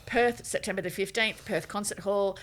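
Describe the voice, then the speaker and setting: A middle-aged Australian woman co-hosting a podcast, chatting casually in a measured, conversational way. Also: medium pitch, fairly even intonation, slight background noise. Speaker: podcast co-host. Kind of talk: casual chat.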